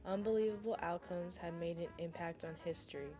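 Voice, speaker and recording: A woman's voice: fairly low pitch, steady tone, fairly quick, warm tone. A young woman presenting a sports segment as scripted narration, with music behind her.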